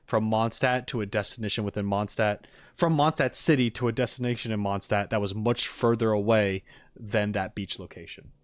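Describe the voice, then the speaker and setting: A man's voice: lowish voice, no echo. A man doing casual livestream commentary as he reacts to a game, opinionated and emphatic, in an argumentative way. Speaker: streamer reacting to game voice lines.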